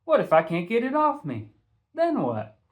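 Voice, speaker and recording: A man's voice: high voice, huge pitch swings, moderate pace, voice pushed up. A man voicing a sassy, blunt, teasing teenage girl in an audiobook reading. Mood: sassy, defiant.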